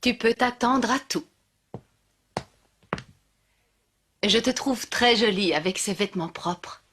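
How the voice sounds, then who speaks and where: A woman playing a kind but firm schoolmistress in a French-dubbed cartoon, speaking in a measured, instructive way. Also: on the high side, fairly bright tone, slight background noise, natural voice. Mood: teasing, firm. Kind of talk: French animated series dubbing. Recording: faint music behind the voice.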